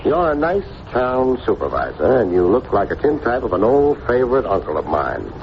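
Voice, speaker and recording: A man's voice: mid-range voice, very animated, normal speed. A cocky big-city private detective from a vintage radio show. His intonation swings freely, breezy and dry with plenty of slang. The old recording is dull and hissy. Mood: brisk, dismissive. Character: cocky, wisecracking New York private detective. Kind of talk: 1950s radio detective acting, first-person narration and dialogue.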